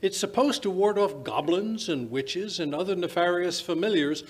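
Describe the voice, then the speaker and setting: A man's voice: high voice, expressive, moderate pace, breathy edge. An older man playing a genial elderly British doctor in a radio play, an avuncular storyteller who hesitates and rambles. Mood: genial, welcoming. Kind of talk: old-time radio drama acting. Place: small stage in a museum, live performance. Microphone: vintage-style stand microphone.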